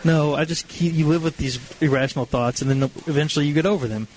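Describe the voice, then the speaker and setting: A man's voice: a little high, fast talker, a little mellow, a little hiss or hum, low-quality recording. An American man in his twenties telling stories in a casual, self-deprecating way as a guest on a radio show. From Missouri, United States. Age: 20s. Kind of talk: casual chat, personal storytelling.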